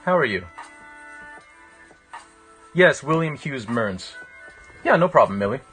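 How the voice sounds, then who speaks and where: A man's voice: medium pitch, very animated, slow, fairly bright tone. Reflective man chatting over music. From Canada. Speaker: live-streaming painter. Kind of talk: casual chat with livestream viewers. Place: small indoor room with a painting on the wall.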